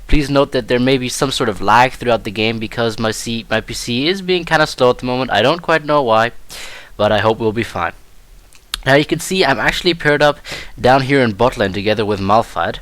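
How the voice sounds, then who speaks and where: A man's voice: medium pitch, brisk, fairly bright tone, dry recording. Young man with German first language. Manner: hesitant, many fillers and restarts. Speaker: gameplay commentator. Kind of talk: casual game tutorial commentary.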